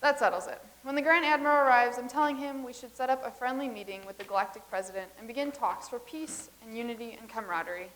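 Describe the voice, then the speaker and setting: A woman's voice: on the high side, fast talker, few pauses, fairly bright tone, close to an everyday voice. An actress playing a matter-of-fact woman from the far future in a staged comedy reading.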